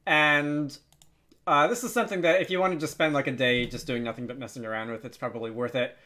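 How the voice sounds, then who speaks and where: Casual, hesitant man giving a software tutorial. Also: medium pitch, fairly even intonation, fairly quick. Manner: hesitant, rambling, self-deprecating. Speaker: game development tutorial host.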